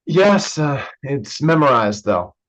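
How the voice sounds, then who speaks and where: A man's voice: medium pitch, very animated, slow pace. A young man playing a blunt, sardonic and wounded son and younger brother in a staged reading of a play, recorded in lo-fi. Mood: nervous, joking. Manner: hesitant, blunt, swearing. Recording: dull, lo-fi online recording.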